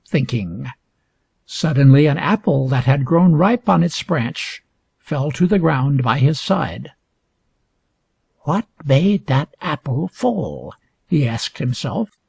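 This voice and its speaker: A man reading a scripted educational story aloud for English learners with deliberate, emphatic phrasing. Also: a little high, gravelly, a little mellow, slightly rough, no echo. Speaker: reader.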